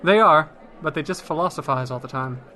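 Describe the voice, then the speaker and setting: A man plays a wry town wizard in a fantasy comedy podcast drama. His bright, forceful voice sits a little high and explains things with dry, matter-of-fact humour. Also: a little fast.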